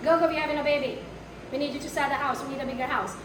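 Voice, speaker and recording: Brash high-voiced accented woman trainer. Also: fairly even intonation, rapid-fire. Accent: foreign. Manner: brash, blunt, asks the room questions. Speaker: real estate marketing trainer. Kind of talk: live workshop talk to an audience. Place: training room with a live audience. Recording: echoey room, mic far off, noisy.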